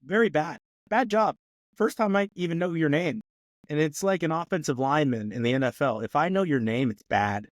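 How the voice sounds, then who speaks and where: A man's voice: a little high, animated, a little fast, no room sound, studio quality. A man co-hosting a movie review podcast, rambling with lots of fillers and laughing often in casual chat. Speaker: podcast co-host.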